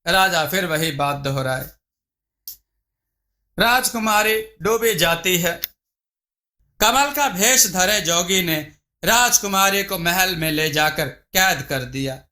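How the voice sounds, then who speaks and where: A male storyteller reads a scripted moral tale in a high-pitched, bright voice. He takes his time over each sentence and gives the telling an expressive shape. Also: takes it slowly.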